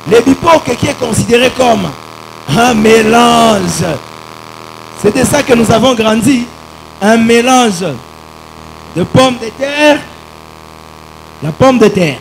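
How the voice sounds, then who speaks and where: A man's voice: very high voice, creaky, a little hiss or hum, low-quality recording. A man telling a nostalgic story as a radio show contestant. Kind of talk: anecdotal answer on a radio show.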